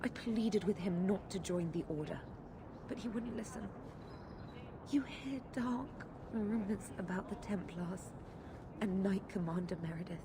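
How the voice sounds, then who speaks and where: A woman's voice: mid-range voice, a little slow, background noise, natural voice. A woman playing a worried, devout sister in a video game, earnest, anxious and pleading in manner. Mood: sorrowful, worried. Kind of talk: dramatic video game acting. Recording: dramatic game music behind the voice.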